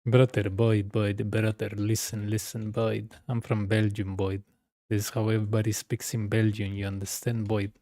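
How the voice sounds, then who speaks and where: A man's voice: lowish voice, mellow, no room sound, clean studio sound. A man voicing an insistent, repetitive Belgian man pestering someone during a game. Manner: insistent, repetitive, addressing his listener over and over.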